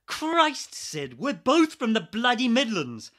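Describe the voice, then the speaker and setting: A man's voice: extremely high pitch, huge pitch swings, takes it slowly, pushed and bright, full character voice. A man acting in a radio play as an exasperated, sarcastic ex-army geologist. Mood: incredulous, sarcastic. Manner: sardonic, sweary, incredulous.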